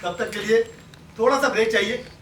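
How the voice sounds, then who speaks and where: Male stage announcer, courteous audience address, bright tone, echoing and far from the mic. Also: high-pitched, steady tone, a little fast. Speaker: stage announcer for a theatre group. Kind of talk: polite announcement to a live audience. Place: theatre hall, recorded from a distance. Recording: echoey hall, distant mic, faint noise.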